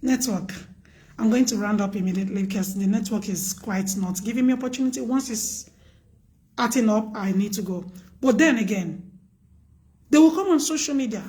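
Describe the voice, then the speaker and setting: A woman with a loud voice hosting a live stream, giving earnest, preachy relationship advice and repeating her points for emphasis. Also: fairly high pitch, normal speed.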